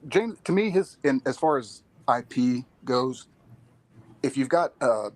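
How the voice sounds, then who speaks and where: A man on a casual podcast chat, speaking in a measured way as he builds an argument. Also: mid-range voice, expressive. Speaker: podcast co-host or guest.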